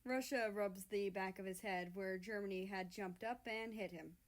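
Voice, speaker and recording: A woman's voice: medium pitch, steady tone, moderate pace. A woman reading a fan-written story aloud as its narrator.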